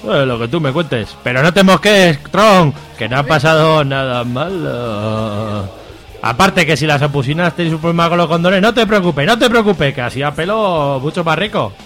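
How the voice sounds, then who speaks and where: A man's voice: a little high, animated, moderate pace, fairly bright tone, soft background music. A man acting a cocky, crude, slangy young guy in a radio serial, with an accent from Spain. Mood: cheeky, unbothered. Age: young adult. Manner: cheeky, slangy.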